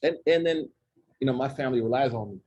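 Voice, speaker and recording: A man's voice: medium pitch, fast, a little mellow. A man in his forties from Oklahoma with an Oklahoma accent, leading an informal talk with students and asking them questions. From Oklahoma, USA. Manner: chatty, jokey, asks the audience questions. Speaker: imam leading a student session. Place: campus center room with a student group.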